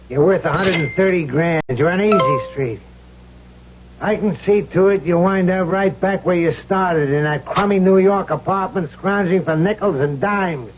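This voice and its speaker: An actor playing a cruel, mocking ghost of a gangster swindler in an old-time radio drama, husky and full of slangy tough-guy menace. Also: on the high side, slight background noise, lightly acted. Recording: dull lo-fi old radio recording.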